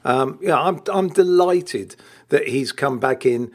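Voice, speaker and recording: A man's voice: medium pitch, lively intonation, takes it slowly, noisy background. A man, co-hosting a football podcast in casual chat. He is enthusiastic, laughs often, and repeats words while he finds his thought.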